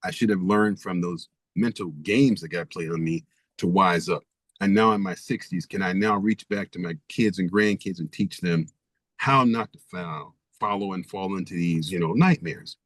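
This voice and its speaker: Deep-voiced man driving home rhetorical questions. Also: normal speed, no room sound. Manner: emphatic, repeats rhetorical questions. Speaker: guest author. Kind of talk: interview conversation.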